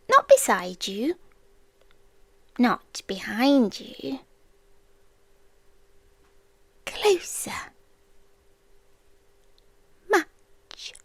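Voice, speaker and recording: Ancient possessive female stalker for ASMR roleplay, played by a woman: British, very slow, eerily tender, slipping into whispers, close and dry. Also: high voice, no echo, full character voice. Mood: intimate, commanding. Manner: slow, hushed, often slipping into whispers, teasingly menacing. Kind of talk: ASMR roleplay, intimate dramatic monologue. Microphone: close-up ASMR microphone, dry.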